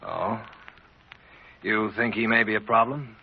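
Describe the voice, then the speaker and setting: A man's voice: lowish voice, measured pace, slightly rough. An American man playing a deferential, uneasy clerk in 1940s radio drama, hesitant and polite. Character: deferential, uneasy male clerk to a crooked boss. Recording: muffled, hissy 1940s radio recording.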